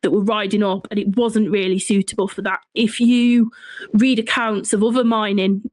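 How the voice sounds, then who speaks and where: A woman with a Shropshire accent talks as a guest, telling a piece of history in a conversational way. Her pitch sits in the middle for a woman. The sound is dry, with very little room in it. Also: level tone, normal speed, a little mellow, no room sound. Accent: Shropshire English. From Shropshire, England. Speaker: podcast guest, folklore and history writer.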